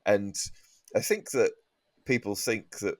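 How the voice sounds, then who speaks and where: Male comedian guest, low pitch, earnest and emphatic opinion-giving, tight echo-free recording. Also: expressive, slow, studio quality. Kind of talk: comic opinion in panel chat.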